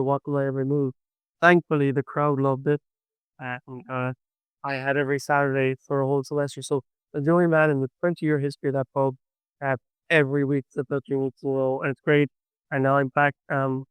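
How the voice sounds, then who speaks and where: A man's voice: medium pitch, smooth, no echo, poor audio. An Irish man, a young adult folk singer, chatting enthusiastically in an interview and telling stories. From Ireland. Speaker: folk singer-songwriter guest. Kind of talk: casual interview chat.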